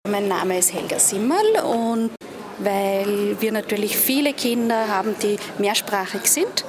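A woman's voice: mid-range voice, lively intonation, a little slow. A woman conference participant giving a thoughtful interview answer. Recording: crowd chatter behind.

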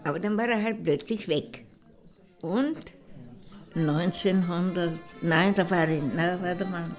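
Woman as a slow, low-voiced older jilted lover. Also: over music. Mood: wistful, rambling. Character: older jilted woman, wistful and resigned about old love. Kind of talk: rambling reminiscence in an audio drama collage.

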